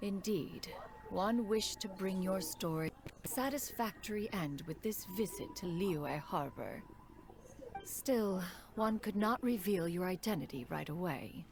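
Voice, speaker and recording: An actress playing a dignified immortal crane adeptus and mentor in a video game, speaking in formal, archaic phrases. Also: female voice, mid-range voice, expressive, unhurried, background noise. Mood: solemn, revealing. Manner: formal, archaic, refers to self as "one". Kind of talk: scripted game character dialogue.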